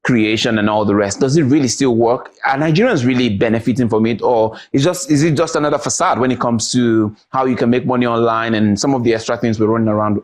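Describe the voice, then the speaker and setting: A man's voice: mid-range voice, quick pace, few pauses. A man hosting a studio interview, asking long conversational questions with restarts. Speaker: TV interviewer host.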